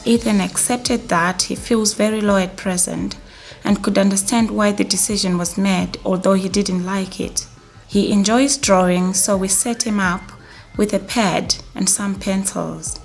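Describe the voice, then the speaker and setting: A woman acting as a mental health nurse narrates a training film. She is concerned and matter-of-fact, at a middling pitch with faint music underneath. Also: fairly even intonation, measured pace, gravelly. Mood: calm, caring.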